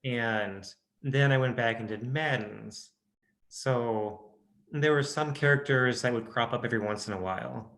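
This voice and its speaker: A man's voice: medium pitch, unhurried, creaky, a bit bright. A man with a Midwestern American accent, an audiobook narrator chatting in an interview, hesitant with many restarts and fillers. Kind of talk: casual interview chat.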